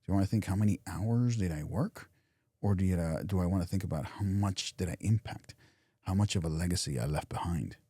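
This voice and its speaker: Man, very deep mellow voice, podcast co-host, reflective motivational chatter. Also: brisk, slightly rough, no room sound, studio quality. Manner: reflective, repeats and restarts phrases, softens into quiet imagined thoughts. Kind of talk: casual motivational chat.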